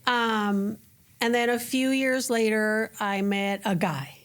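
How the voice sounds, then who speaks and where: Colombian-accented woman, lively interview guest. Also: fairly high pitch, slow pace, clear, resonant tone. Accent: Colombian Spanish. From Colombia (Cali). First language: Spanish. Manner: animated, restarts and acts out quoted voices. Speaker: guest, educator. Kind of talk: casual interview chat.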